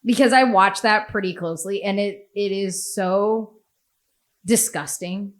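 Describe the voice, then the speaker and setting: A woman's voice: mid-range voice, a little slow. An American woman hosts a casual podcast chat. She talks bluntly, swears freely and breaks into laughter often. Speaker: podcast host.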